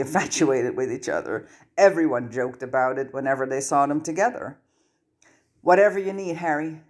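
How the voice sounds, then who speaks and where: A woman reads a scripted story aloud as its narrator. Her voice sits low for a woman. The delivery is that of an audiobook. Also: a little mellow.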